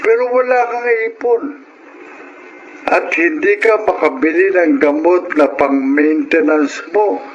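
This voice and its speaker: Stern, scolding father in slow, high-pitched delivery by a man. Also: close to an everyday voice. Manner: indignant, emphatic, reproachful. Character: stern, scolding Filipino father of grown children. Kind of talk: Filipino radio drama acting. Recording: dull lo-fi sound, faint background noise.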